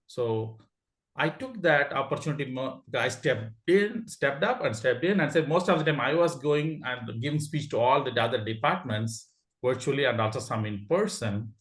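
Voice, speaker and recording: A man with an Indian accent speaks eagerly on a video call, laughing often and stumbling into restarts as he talks. His voice sits at an average pitch and carries a noticeably roomy echo. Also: lively intonation, normal speed, a bit bright. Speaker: club member sharing a story. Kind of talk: casual meeting talk. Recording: echoey room.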